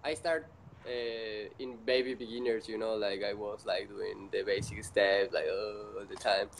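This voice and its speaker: A man who speaks English as a second language, talking casually as an interview guest in a halting, repetitive way with many fillers. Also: lowish voice, steady tone, gravelly, slight background noise. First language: not English.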